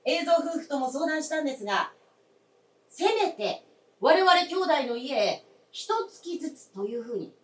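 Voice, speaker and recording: Insistent adult son voiced by a woman, high-pitched and swooping, polite and formal, for radio drama. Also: animated, moderate pace, a bit bright. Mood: earnest, insistent. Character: earnest, insistent grown son pleading with father. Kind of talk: Japanese radio drama acting on stage. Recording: roomy, distant stage recording.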